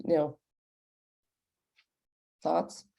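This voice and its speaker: A woman's voice: extremely slow, warm tone. A woman moderating a professional online panel and putting audience questions to the guests. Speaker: panel moderator and audio development executive.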